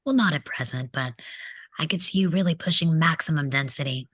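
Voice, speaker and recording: A woman's voice: sits low, brisk, fairly bright tone, dry recording. A woman reading the part of a mocking, crude teenage delinquent boy at a table read of a film script. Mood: needling. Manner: mocking, needling, insolent.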